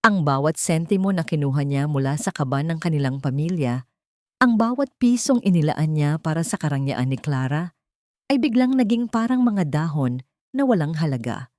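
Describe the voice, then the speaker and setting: A woman's voice: deep voice, animated, normal speed, darkish tone, no echo, clean studio sound. A woman reading a scripted dramatic story as narrator, in a solemn, melodramatic manner.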